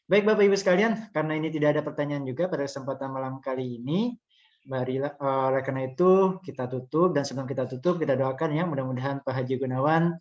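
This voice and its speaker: A man's voice: medium pitch, fast. Chatty moderator man, lo-fi call audio. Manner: chatty, many "eh" fillers, addresses audience. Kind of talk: hosting an online study session. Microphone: online video call.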